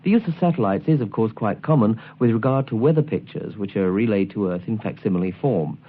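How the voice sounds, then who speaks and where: Male presenter for scripted how-to narration; animated intonation, somewhat deep, slightly rough-edged voice, didactic delivery. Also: brisk, phone-quality sound. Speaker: presenter of a shortwave listening guide.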